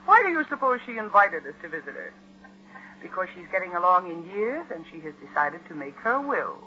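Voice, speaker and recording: An actress playing a sardonic, scheming Broadway star in a 1940s radio drama, arch and mocking with theatrical endearments. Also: female voice, lowish voice, very animated, brisk. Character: sardonic, scheming, glamorous young stage actress sister. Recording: muffled vintage radio drama recording.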